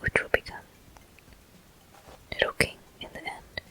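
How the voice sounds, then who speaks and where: Soft whispered ASMR gameplay commentary. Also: a little slow. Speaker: game walkthrough host.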